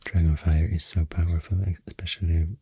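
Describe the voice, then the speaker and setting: A man with a very low, dark voice and a Swedish accent speaks softly and breathily, close to a whisper. He rambles slowly and hesitantly in ASMR style, right up on a dry microphone. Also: level tone, no room sound. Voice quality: soft, breathy, near-whisper. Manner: hesitant, unscripted, unhurried. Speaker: ASMR creator. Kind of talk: soft-spoken ASMR rambling explanation.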